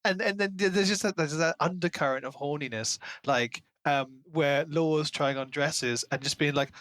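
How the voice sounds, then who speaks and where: A man's voice: a little high, normal speed, fairly bright tone, no echo. A man co-hosting a podcast, discussing a book casually in an analytical, speculative and wry way. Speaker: podcast co-host.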